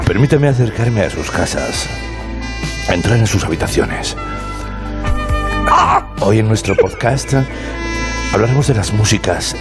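A male radio comedian. His voice is fairly low and highly expressive, moving between teasing banter and mock-solemn presenting over music. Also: measured pace, pauses often. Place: radio studio.

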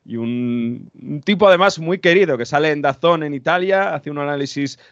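A man's voice: a little high, normal speed, dry recording, muffled recording. A man co-hosting a sports show, talking through football analysis in a conversational way.

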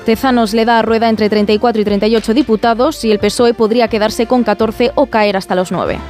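A woman presents scripted radio news in a Castilian Spanish accent. She reads quickly in a fairly high voice, with soft music underneath. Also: steady tone.

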